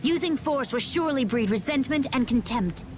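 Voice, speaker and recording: A stern, poised young fighter in a game. Her high voice carries measured reproach over prominent background music. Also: lively intonation. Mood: reproving. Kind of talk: video game cutscene acting.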